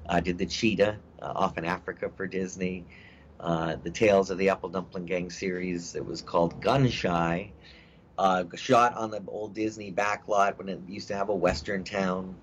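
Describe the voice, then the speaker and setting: A middle-aged actor talking in a casual interview, rambling with many fillers and restarts. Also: male voice, deep voice, steady tone, normal speed. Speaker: actor guest. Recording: dull lo-fi audio with faint hiss.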